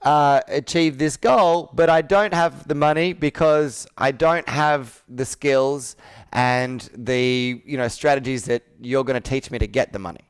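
A man's voice: mid-range voice, unhurried, clear, resonant tone. A man in his thirties coaching a sales roleplay on a video call, asking probing questions and repeating answers back. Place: indoor room with whiteboard, on a video call.

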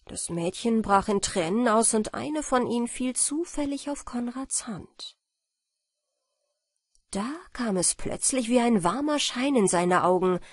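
A woman narrating a fairy tale as an expressive audiobook reader. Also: on the high side, unhurried, dry recording, studio quality.

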